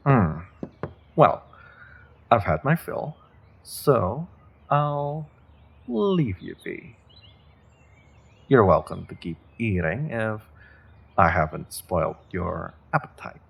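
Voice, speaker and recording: A man playing a smug, mock-kind evil sorcerer in a fantasy audio roleplay, condescending and quietly threatening to his captive, with a deep, husky, gravelly voice. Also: sing-song, slow, pauses often, exaggerated voice. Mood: smug politeness.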